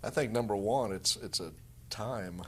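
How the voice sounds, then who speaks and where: A man's voice: fairly low pitch, measured pace, a bit bright, slight background noise. A man with an American accent speaking as a basketball coach, giving casual interview answers.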